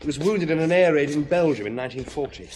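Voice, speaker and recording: Male actor as a fairly high-voiced, lively radio-drama detective giving brisk reports. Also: close to an everyday voice. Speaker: police inspector.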